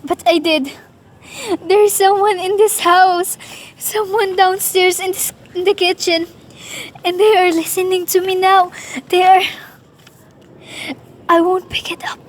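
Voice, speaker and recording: A woman's voice: very high-pitched, slight background noise. A woman acting in a radio play as a nervous, demanding invalid wife, alone and frightened, speaking with urgency. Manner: nervous, imperious, breathless urgency.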